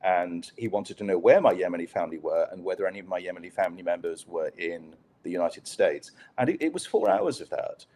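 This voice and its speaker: A man's voice: sits low, expressive, brisk, hardly any pauses, warm tone, a little hiss or hum. Wry, rambling male interview guest. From Pakistan. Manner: wry, dry humor, many fillers and restarts. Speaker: guest, journalist. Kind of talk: conversational storytelling on a podcast interview.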